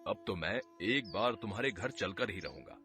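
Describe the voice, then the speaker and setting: A man's voice: fairly low pitch, lively intonation, moderate pace, music in the background, natural voice. A man voicing a rich, kindly city merchant in a cartoon story, speaking in a friendly, assured way.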